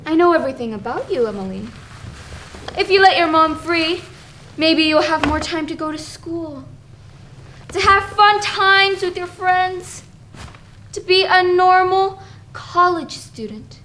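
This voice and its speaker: A woman acting in an amateur stage play as a young woman's sly, taunting inner self, speaking in a deliberate, insinuating way. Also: very high voice, pushed and bright, a little hiss or hum, full character voice. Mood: coaxing, taunting.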